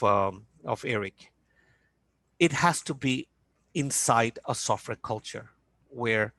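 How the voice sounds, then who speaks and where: Man from Norway, halting speech full of fillers, dry close sound, founder on a panel. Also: medium pitch, vocal fry, fairly bright tone, no room sound. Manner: hesitant, many fillers and restarts. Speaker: company founder. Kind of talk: business panel talk.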